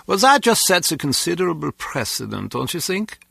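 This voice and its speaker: A man's voice: on the high side, big pitch swings, a little fast, gravelly, sharp tone, slightly rough, no echo, clean studio sound, heavily acted. An actor playing a nervous, prim and polite criminal henchman who speaks formally in a video game. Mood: uneasy. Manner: formal, precise wording.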